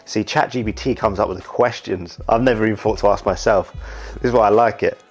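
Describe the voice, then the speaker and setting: A man's voice: fairly low pitch, fairly even intonation, a little fast, soft background music. A young man talking candidly about his life, rambling and often saying "you know". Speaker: YouTuber and wedding videographer. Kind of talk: reflective casual monologue.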